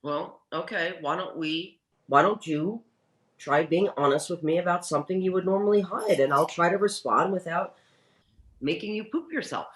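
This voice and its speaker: A woman playing a sharp-tongued, exasperated sitcom wife who tries hard to sound reasonable, halting and insistent, in a comedic script read. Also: lowish voice, echoey room, lightly acted. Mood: wry. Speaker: sitcom actor at a cast reunion table read.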